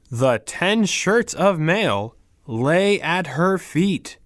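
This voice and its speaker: A man narrating a fairy tale for language learners in a deliberate, carefully enunciated way, with pauses between short phrases. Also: fairly high pitch, no echo, clean studio sound. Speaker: story narrator.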